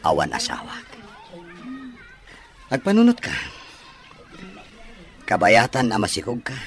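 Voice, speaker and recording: A man's voice: medium pitch, huge pitch swings, unhurried, creaky, exaggerated voice. An actor with a Filipino accent playing a wealthy, persuasive man who presses his offer in an audio drama. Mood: confident, persuasive. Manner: persuasive, insistent. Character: wealthy single man pressing a woman to bear his child. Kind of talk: Ilocano audio drama acting.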